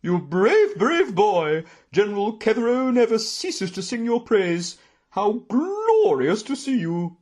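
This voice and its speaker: A man voicing an imposing, effusive aunt in a storybook, with a booming theatrical delivery. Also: big pitch swings, slow pace, a little mellow, heavily acted. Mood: delighted, gushing. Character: imposing, gushing, formidable middle-aged aunt.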